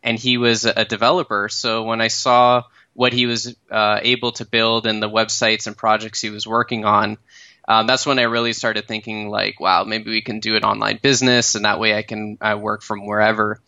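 A man in his thirties with a Canadian accent, a startup founder telling his story in a relaxed podcast interview, with many fillers and restarts. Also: on the low side, moderate pace, dry recording, highs cut off.

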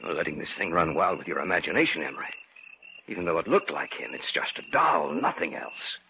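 An actor playing a sceptical, down-to-earth friend in a vintage radio horror play. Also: male voice, rumbling low, lively intonation, a little fast, creaky, full character voice. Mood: sober, dismissive. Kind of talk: 1950s radio drama acting. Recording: muffled vintage radio, faint noise.

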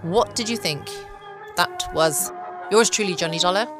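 A woman's voice: lowish voice, moderate pace. A woman chatting casually as host of a bedtime podcast. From United Kingdom. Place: at home. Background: music.

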